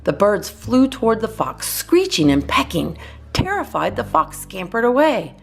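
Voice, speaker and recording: A woman narrating a children's picture book as a storyteller, in an expressive scripted reading. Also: a little high, measured pace, creaky, soft background music. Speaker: children's storyteller, channel host.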